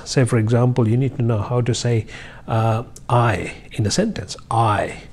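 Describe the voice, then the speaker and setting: Husky-voiced man teaching English tips. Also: mid-range voice, a little mellow. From Sri Lanka. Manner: encouraging, repetitive, with many fillers and restarts. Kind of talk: casual teaching monologue to camera. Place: high-rise office by a window, indoors. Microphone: lapel mic.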